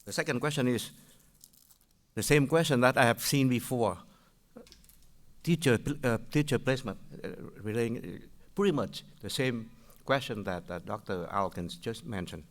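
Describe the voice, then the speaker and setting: An older man from Vietnam with a Vietnamese accent, asking questions at a public meeting in a halting way, with many restarts. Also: medium pitch. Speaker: public commenter.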